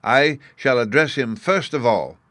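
A man voicing an excitable, absent-minded, kindly old professor in a storybook, with a flustered, pedantic manner. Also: medium pitch, measured pace, dry recording, pitched above the performer's own voice, lightly acted.

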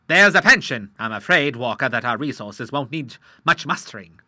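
A man's voice: animated, normal speed, pushed and bright, no echo, studio quality. A man reading an audiobook, voicing a hearty old naval admiral in his sixties who talks boisterously in nautical terms. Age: 60s. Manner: booming, boisterous, nautical talk.

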